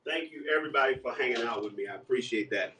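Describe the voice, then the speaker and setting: A man's voice: medium pitch, fast, bright, forceful tone, a little hiss or hum. A man chatting casually on a live stream while cooking breakfast, laughing often and breaking into playful bits of song. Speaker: guest live streamer and singer. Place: home kitchen. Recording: echoey room, voice far from mic.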